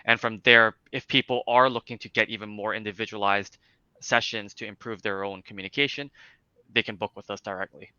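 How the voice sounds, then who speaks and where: A man's voice: fairly low pitch, steady tone, fairly quick, sharp tone, smooth, no room sound, highs cut off. A young man with a light Cantonese accent, explaining things in a casual interview with lots of fillers and restarts. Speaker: interview guest, speech clinic founder.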